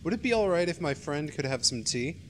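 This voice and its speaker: A man's voice: fairly high pitch, sing-song, fairly quick, slight background noise. A man playing a young American who steadies a frightened friend in a radio play, casual and reassuring. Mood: casual, friendly. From United States. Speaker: actor in amateur college radio play.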